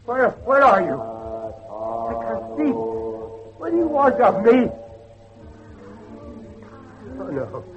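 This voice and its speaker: High-pitched, theatrical older gentleman. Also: huge pitch swings, highs cut off, music in the background, full character voice. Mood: terrified, frantic. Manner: theatrical, halting, repeats himself. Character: older Victorian father, devout, haunted, prone to terror. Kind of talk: dramatic horror audio acting.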